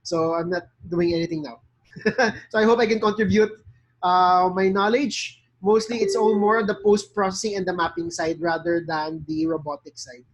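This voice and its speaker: A man from the Philippines introducing his drone work on a video call, relaxed and matter-of-fact. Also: sits high, moderate pace, a little hiss or hum, low-quality recording. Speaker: drone maker.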